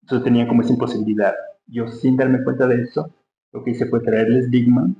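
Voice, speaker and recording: Ecuadorian guy chatting in an interview, pretty even low voice, thinking out loud, roomy recording. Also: fairly quick. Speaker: interview guest, dancer. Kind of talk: casual interview conversation. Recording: roomy, dull sound with faint hum.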